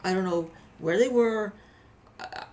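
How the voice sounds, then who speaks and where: A woman's voice: medium pitch, unhurried, darkish tone. A woman giving a casual, chatty hobby review with anecdotes, often repeating phrases for emphasis.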